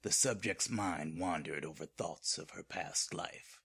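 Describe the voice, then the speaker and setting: A man playing a grave, ominous narrator of a horror audio drama, theatrical and gravelly. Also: sits low, measured pace, fairly bright tone, full character voice.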